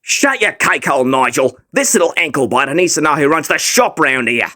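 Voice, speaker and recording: A man's voice: on the high side, lively intonation, fairly quick, bright, forceful tone. A man voicing a cocky, sneering teenage bully with an Australian accent and drawling, exaggerated taunts in a comic dub. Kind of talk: comic fandub acting.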